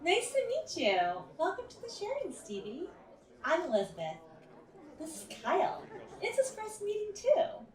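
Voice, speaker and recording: A woman's voice: very high-pitched, huge pitch swings, normal speed, far from the mic, heavily acted. A woman playing an effusive, upbeat workshop leader in an audio drama, welcoming people with gushing friendliness. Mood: gushing welcome. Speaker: workshop facilitator. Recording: roomy, echoey, away from mic.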